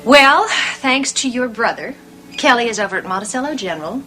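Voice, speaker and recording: An actress playing a kind, reassuring friend in soap drama dialogue. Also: female voice, fairly high pitch, animated, brisk, sharp tone, a little hiss or hum. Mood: reassuring, cheerful. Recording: music behind dialogue.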